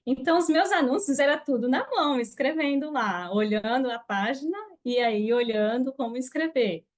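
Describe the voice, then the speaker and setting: A woman's voice: sits high, moderate pace. Earnest Brazilian woman podcast guest. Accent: Brazilian Portuguese. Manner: reflective, earnest, with hesitant restarts. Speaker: guest, affiliate marketer. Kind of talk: casual podcast interview.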